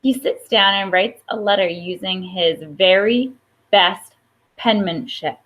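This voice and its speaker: A woman reading a children's picture book aloud expressively, with pauses for emphasis and small asides. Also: medium pitch, fairly bright tone. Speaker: storybook reader. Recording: dull, lo-fi sound.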